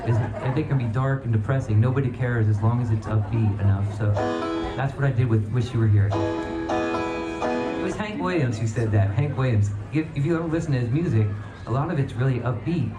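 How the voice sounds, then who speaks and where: A man's voice: mid-range voice, a little fast, muffled recording. Echoey American man chatting from a music stage. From Virginia, United States. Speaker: banjo musician, festival performer. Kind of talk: casual stage banter between songs. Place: live festival stage. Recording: live outdoor festival PA, echoey, music.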